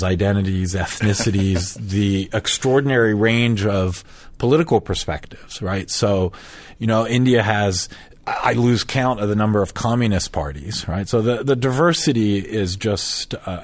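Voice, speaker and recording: A man's voice: fairly low pitch, normal speed, dry recording. A man from Switzerland, a sociologist guest, giving thoughtful, explanatory answers in an interview.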